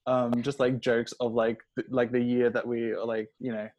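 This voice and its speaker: A man's voice: mid-range voice, flat delivery, moderate pace, darkish tone. Hesitant young man in casual conversation. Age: young adult. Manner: hesitant, rambling, heavy use of "like".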